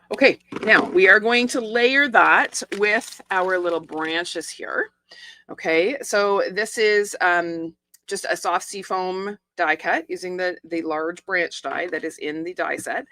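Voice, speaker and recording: A middle-aged woman with a croaky, hoarse voice, chatting casually as she demonstrates a stamping and card-making project step by step. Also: fairly low pitch, bright, forceful tone, no room sound. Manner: chatty, explains each step with asides and small laughs. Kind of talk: casual live craft tutorial.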